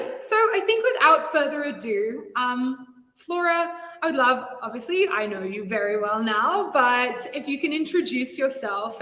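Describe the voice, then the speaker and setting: A woman hosts a live stream in an upbeat, lively way, laughing easily as she talks to viewers. Her voice sits a little high, and it rings out with a long, echoing reverb, as if she were in a bare room. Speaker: host and CEO. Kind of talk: casual live-stream hosting.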